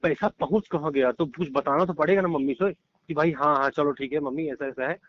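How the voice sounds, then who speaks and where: A man's voice: a little high, brisk, no echo. A man in a relationship argument on a recorded phone call, defensive and repeating his phrases. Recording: dull lo-fi call, faint noise, clicks.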